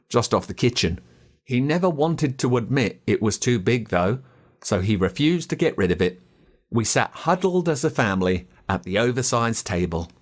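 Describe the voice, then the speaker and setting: An Australian man narrating a children's story in a dramatic, expressive way. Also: mid-range voice. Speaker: audiobook narrator.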